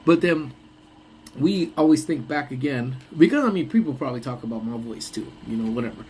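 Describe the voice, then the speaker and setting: A man's voice: mid-range voice, animated, brisk, a little mellow, slight background noise, low-quality recording. A young man hosting a casual lunch-break live stream, rambling and laughing often, with a voice that sounds congested. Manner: rambling, laughs often, trails off mid-thought.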